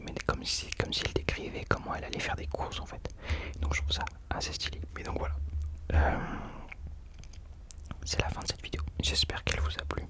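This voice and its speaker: A young man whispering casual ASMR commentary as a host, hesitantly searching for his words.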